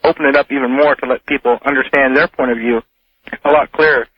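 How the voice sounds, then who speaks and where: American guy phoning a radio show, kinda halting and unsure, medium voice, dull phone sound. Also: medium pitch, fairly even intonation, breathy edge, no echo. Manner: halting, tentative, roundabout. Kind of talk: hesitant casual questioning. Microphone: telephone. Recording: dull telephone line on radio.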